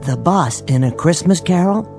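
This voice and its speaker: Meek bank clerk, bookish and fussy; very animated delivery for radio drama. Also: male voice, a little high, normal speed, faint music behind. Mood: eager, bookish. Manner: fussy, eager, apologetic, repeats himself. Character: mild, flustered, bookish little bank teller, a man.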